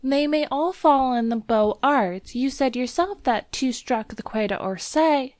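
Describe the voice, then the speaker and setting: A woman's voice: sits high, a little slow, a little mellow, no room sound, pitched above the performer's own voice. A woman voicing a tender, anxious young woman in an audiobook story, nervous and pleading. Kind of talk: audiobook character dialogue.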